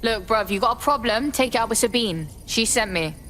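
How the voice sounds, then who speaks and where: A cocky, streetwise young London woman, fairly high in pitch. Her delivery is brash, slangy and very animated, a defiant game character. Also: brisk, slight background noise. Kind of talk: video game voice acting.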